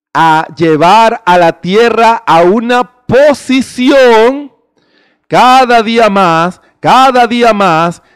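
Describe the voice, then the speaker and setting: High-voiced, slow preaching man. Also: clean tone, dry recording, studio quality. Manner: emphatic preaching with rhetorical questions. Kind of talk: sermon. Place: small indoor stage before a curtain. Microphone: handheld mic.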